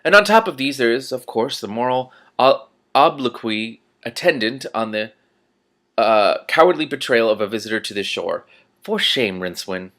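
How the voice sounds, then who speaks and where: A man's voice: mid-range voice, expressive, a bit bright. Man reading a menacing, mock-polite fat male ruler. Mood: mock-reproachful. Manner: measured, formal, mock-polite. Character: smooth, menacing, fat ruler, amiably threatening.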